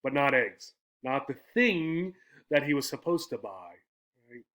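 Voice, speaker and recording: A man's voice: mid-range voice, very animated, a little slow, fairly bright tone. A man teaching English, explaining step by step in a didactic way with frequent questions and check-in tags. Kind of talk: explanatory English lesson with poem reading.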